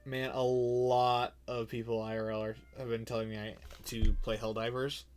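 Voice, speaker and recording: A man's voice: medium pitch, level tone, moderate pace, a little mellow, clean tone. Congested young man chatting while streaming. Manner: chatty, rambling, filler-heavy. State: stuffed up, congested. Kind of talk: casual live-stream commentary.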